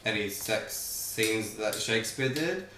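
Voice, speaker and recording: Animated young man, laughing often. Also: fairly low pitch, slow, heavy background noise. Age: 20s. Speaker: reaction video co-host. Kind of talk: casual chat. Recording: roomy sound from a distant mic.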